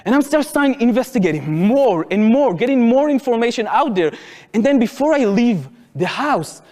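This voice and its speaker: A man's voice: very high voice, lively intonation, moderate pace, a little mellow, long reverb tail. A man, telling his story earnestly at a public talk. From Israel. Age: 20s. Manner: earnest, impassioned, acts out dialogue. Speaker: speaker. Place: lecture hall.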